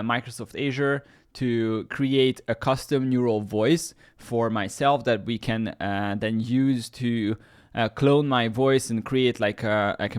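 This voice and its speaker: A man whose first language is Hungarian walks viewers through a screen-share tutorial. His voice sits at an ordinary middle pitch. He rambles, restarts his sentences and leans on fillers as he explains. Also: clear, resonant tone. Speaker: YouTube tech host.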